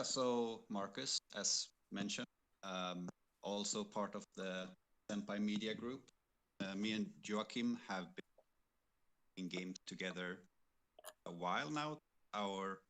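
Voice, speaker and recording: A man speaking as a game developer guest in a casual livestream chat, with hesitant, halting phrasing. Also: fairly low pitch, fairly bright tone. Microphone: computer microphone on an online call with noise suppression.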